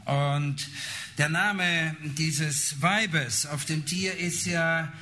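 A man interpreting a sermon live, phrase by phrase, often halting as he searches for words. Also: fairly high pitch, fairly even intonation, sharp tone, slight background noise.

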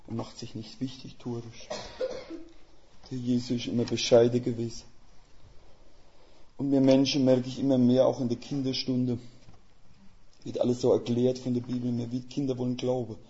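An older man preaching as a guest speaker in a folksy, plain-spoken way, with a Swiss German dialect and a gravelly voice. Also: mid-range voice, little pitch movement, moderate pace, long pauses, mellow. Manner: folksy, plain-spoken, with homely images and rhetorical questions. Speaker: missionary. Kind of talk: sermon. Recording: slightly dull lo-fi sound.

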